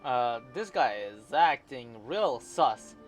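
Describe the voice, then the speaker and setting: Slow-talking man reacting to a game. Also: medium pitch, very animated. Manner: exclamatory, incredulous interjections, slangy. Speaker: gaming video host. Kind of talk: casual live gameplay reaction commentary. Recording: game music loud behind voice.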